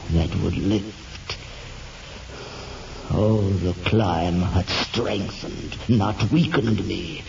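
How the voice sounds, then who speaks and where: An actor playing a tormented, rapturous man in an old radio horror monologue, theatrical and halting, repeating words in wonder. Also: low voice, creaky, a little mellow, exaggerated voice. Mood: striving, elated. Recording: muffled old radio recording, heavy noise.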